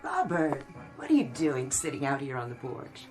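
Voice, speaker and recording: A devoted middle-aged wife in a radio drama. Her voice is deep for a woman and tender, with quiet music behind it. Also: very animated, moderate pace. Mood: tender, nostalgic. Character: affectionate long-married wife and mother, middle-aged.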